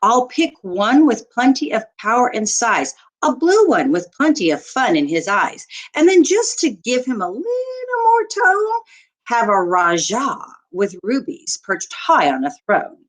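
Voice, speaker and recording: Female teacher-type storyteller, unhurried, expressive, widely varied pitch, for rhyming picture books. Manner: expressive, deliberate storytelling with short asides to listeners. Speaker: teacher reading aloud to children. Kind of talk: children's picture book read-aloud in rhyme.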